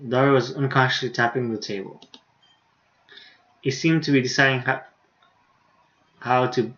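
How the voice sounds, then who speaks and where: A man livestreaming a visual novel, reading the dialogue aloud haltingly with stumbles and self-corrections, and adding casual commentary. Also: medium pitch, fairly even intonation, fairly bright tone, highs cut off.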